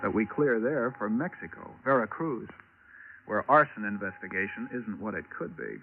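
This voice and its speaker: A man's voice: fairly low pitch, lively intonation, moderate pace, soft background music. An actor playing a seasoned, chummy male ship investigator, confiding and wry, in a 1940s radio drama. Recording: tinny, faintly noisy vintage radio recording.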